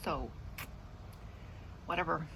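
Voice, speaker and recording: A woman's voice: low voice, slow pace, creaky, bright, forceful tone, a little hiss or hum, rough recording. A middle-aged woman in casual, rambling chat about her day, drifting off into asides. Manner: meandering, trailing off into asides. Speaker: vlogger.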